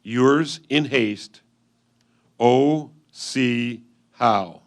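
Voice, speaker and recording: Man playing earnest frontier husband, 1850s letter writer, measured formal delivery, mid-range pitch, reader's theatre. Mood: earnest, businesslike.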